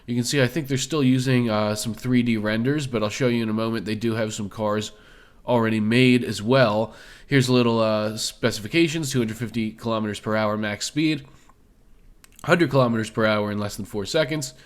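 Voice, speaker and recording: A man hosting an investing video, his voice a little hoarse from a cold. He talks in a loose, easygoing way, leaning on fillers as he walks through the details. His pitch sits in an ordinary middle range for a man. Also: brisk. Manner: loose, filler-heavy, conversational. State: slightly hoarse from illness. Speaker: stock video host. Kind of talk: casual screen-share commentary.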